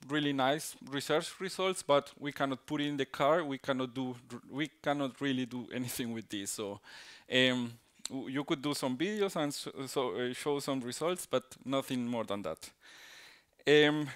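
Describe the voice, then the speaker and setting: Smooth-voiced Colombian man giving a talk. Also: medium pitch, a bit bright. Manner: halting, many restarts and fillers, tag "right?". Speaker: conference speaker. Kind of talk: technical conference talk.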